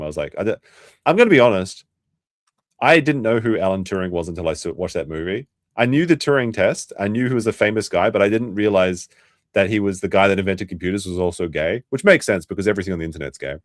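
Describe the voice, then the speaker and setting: A man's voice: low-pitched, lively intonation, fast talker, no echo, clean studio sound. A young man with a New Zealand accent, chatting crudely and rambling as a livestream host reacting for his chat. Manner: rambling, crude, restarts often, talks to chat. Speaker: streamer host.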